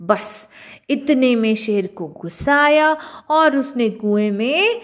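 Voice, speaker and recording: A woman narrating a scripted children's story in an expressive storyteller's style. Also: fairly high pitch, slow pace.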